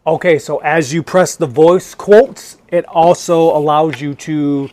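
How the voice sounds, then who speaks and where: A man's voice: fairly high pitch, a little slow, darkish tone. A man giving a casual, informal product review as an online collectibles reviewer.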